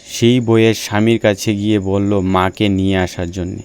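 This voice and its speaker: A man's voice: lowish voice, level tone, normal speed, a little mellow, smooth. A man narrating a scripted folk tale for a cartoon.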